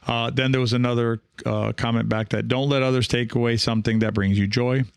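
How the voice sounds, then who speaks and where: Gravelly-voiced man, halting podcast host. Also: mid-range voice, fairly even intonation, no echo. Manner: halting, self-correcting, often seeking agreement with "right?". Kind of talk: reflective solo podcast talk.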